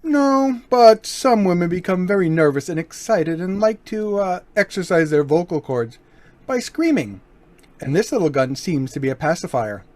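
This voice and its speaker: A man playing a suave, menacing gentleman burglar in an old-time radio crime drama, mock-courteous and taunting. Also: sits high, big pitch swings, moderate pace, no room sound. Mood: mock-polite menace.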